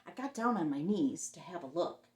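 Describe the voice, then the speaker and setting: A woman's voice: on the low side, lively intonation, normal speed, darkish tone, reverberant. A woman hosting story time, reading a picture book expressively and explaining things simply for young children.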